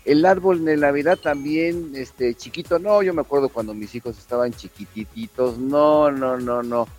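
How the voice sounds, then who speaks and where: A man's voice: fairly high pitch, moderate pace, darkish tone, soft background music. A middle-aged or older man with a Mexican accent, chatting casually and repeating words for emphasis. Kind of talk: casual reminiscing chat.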